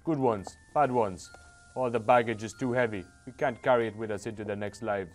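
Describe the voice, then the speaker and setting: A man's voice: fairly low pitch, brisk, faint music behind. An Irish man reading a game's dialogue aloud, with sarcastic, swearing asides between the lines. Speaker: host playing a game.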